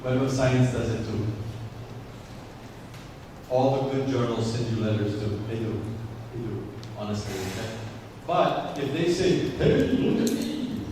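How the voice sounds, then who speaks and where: A man's voice: mid-range voice, a little slow, long pauses. A man giving a guest lecture to students, speaking English as a second language, who keeps checking in with "okay?" and repeats his points. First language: not English. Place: large lecture hall. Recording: echoey hall recorded from far off with noise.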